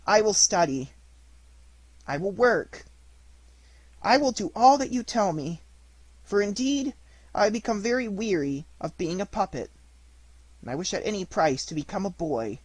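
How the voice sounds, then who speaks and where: A reader voicing an eager, excitable wooden puppet boy in a storybook reading, with a slightly husky tone. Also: medium pitch, quick pace, few pauses, no echo. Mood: earnest. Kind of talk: audiobook character reading.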